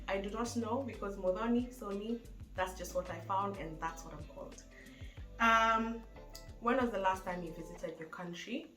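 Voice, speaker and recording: A woman's voice: mid-range voice, fairly bright tone. A young woman with a Kenyan accent, talking casually to camera on her vlog, with lots of fillers. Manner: chatty, with frequent fillers. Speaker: vlogger. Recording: echoey room, faint music behind.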